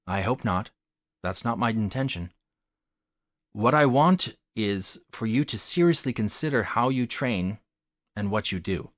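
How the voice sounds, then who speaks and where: A man with a low voice narrates a scripted video essay. His intonation rises and falls widely as he stresses key phrases in an articulate, teacherly way. The sound is close and dry. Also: animated, unhurried, no echo. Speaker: host of a theatre commentary channel.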